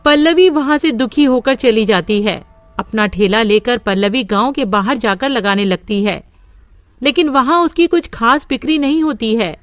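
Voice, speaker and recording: A woman narrating a cartoon story in a plain, steady way. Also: on the high side, normal speed, dry recording. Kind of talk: scripted narration.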